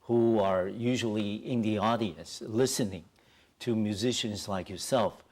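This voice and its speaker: A man's voice: fairly low pitch, a little slow, a little mellow. A middle-aged man hosting a TV interview show in a studio, polite and leaning on "you know" fillers, on a lapel mic. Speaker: TV talk show host.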